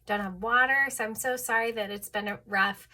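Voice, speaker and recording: A woman's voice: fairly high pitch, fairly even intonation, moderate pace, bright, forceful tone. A woman teaching an online music theory lesson, a little stuffed up, patiently explaining and often checking in with "okay?".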